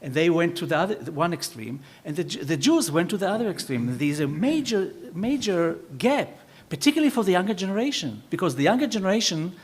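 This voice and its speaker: A man's voice: sits high, sing-song, fast talker, hardly any pauses, slightly rough. A man speaking as an expert on a panel, explaining emphatically, repeating words and posing his own questions as he answers.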